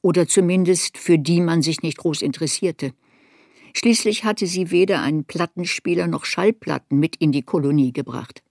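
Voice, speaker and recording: An actress playing a reflective woman writer in a radio play, recalling her girlhood in a sober, deliberate, introspective way. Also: on the low side, a little mellow, no echo, clean studio sound. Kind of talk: literary radio play narration.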